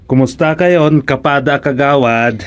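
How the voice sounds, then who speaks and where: A man's voice: medium pitch, measured pace, over music, close to an everyday voice. A man with an Ilocano accent acting a polite, concerned village councilor in a comedy radio drama. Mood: courteous, probing. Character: polite, concerned male fellow village councilor paying a visit.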